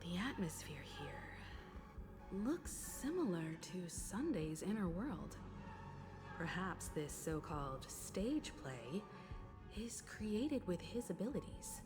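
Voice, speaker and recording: An actress playing a calm, analytical travelling companion in a video game, speaking in a composed and thoughtful way. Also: female voice, on the low side, slow, full character voice. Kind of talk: scripted video game dialogue. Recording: reverberant, music behind.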